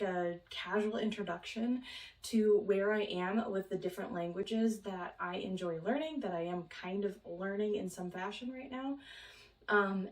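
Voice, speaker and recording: A woman's voice: medium pitch, far from the mic. A young woman from Minnesota chatting casually in a vlog, rambling with many ums and small laughs. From Minnesota, United States. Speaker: vlogger. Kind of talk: casual chat. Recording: roomy, from off the mic.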